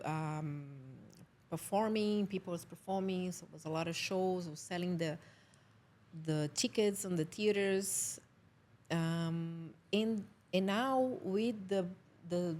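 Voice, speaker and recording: Woman, Brazilian accent, Portuguese native, halting English, casual interview guest, often laughs. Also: lowish voice, darkish tone. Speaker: film producer guest. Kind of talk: casual podcast conversation.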